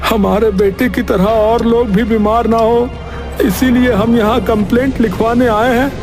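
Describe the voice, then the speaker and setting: A man's voice: extremely high pitch, fairly even intonation, unhurried, over music. A man playing a worried, earnest village parent making a complaint in a cartoon story. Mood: worried, pleading.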